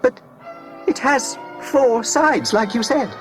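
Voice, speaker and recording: A man's voice: very high-pitched, slow pace, music in the background, rough recording. A man voicing a fussy, anxious protocol droid with a prim, formal, fretful manner in a children's read-along story. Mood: flustered. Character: fussy, anxious golden male protocol droid, eager to help.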